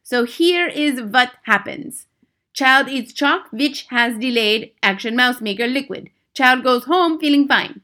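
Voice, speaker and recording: A woman's voice: high-pitched, expressive, a little slow, pushed and bright, pitched above the performer's own voice, heavily acted. A woman voicing a wicked, bloodthirsty old witch leader in a storybook, commanding and triumphant, speaking in broken, foreign-sounding grammar. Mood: gloating, triumphant.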